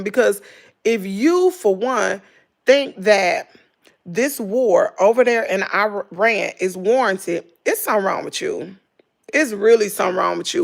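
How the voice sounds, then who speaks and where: A middle-aged woman with a Southern American accent, hoarse, chatting casually and gossiping with her viewers in a dramatic way. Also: medium pitch. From Southern United States. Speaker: vlogger.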